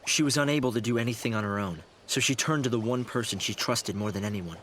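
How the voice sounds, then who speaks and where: An actor playing a thoughtful, idealistic young researcher and leader in a video game, explaining in a measured way. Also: male voice, medium pitch, a bit bright, a little hiss or hum, natural voice. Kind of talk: video game cutscene acting.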